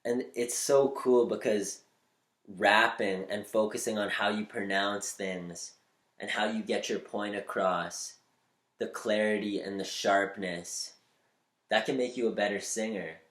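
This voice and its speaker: A man with a slightly nasal voice giving upbeat, spiritual advice on using your voice, shifting between quick bursts and slow, deliberate lines. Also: lowish voice, steady tone, a bit bright, roomy sound. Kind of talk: motivational talk to camera.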